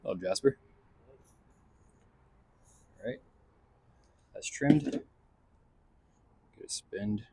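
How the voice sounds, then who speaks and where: A man's voice: fairly low pitch, animated, drawn-out words, pauses often, heavy background noise. A man casually narrating his hands-on car install as a vlog host, thinking aloud in a laid-back, slangy way. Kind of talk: casual narration while working on a vehicle. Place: in and around a pickup truck.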